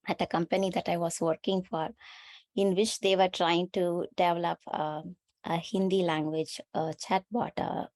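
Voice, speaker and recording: A woman with a lowish pitch hosts a webinar over a video call. Her is Tamil, and she circles her points aloud with fillers and self-corrections. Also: a little mellow. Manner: thinks aloud with many fillers and restarts. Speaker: webinar host. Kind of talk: conversational webinar discussion.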